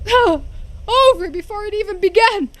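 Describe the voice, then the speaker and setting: A woman voicing an excitable, scheming young treasure hunter from a video game, theatrical, wordy and boastful. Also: very high-pitched, moderate pace, voice pushed up. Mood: indignant, dramatic.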